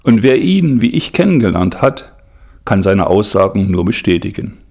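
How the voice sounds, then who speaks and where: A man narrating a documentary report in a measured, formal way. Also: on the low side, big pitch swings, creaky, a little mellow. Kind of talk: scripted narration.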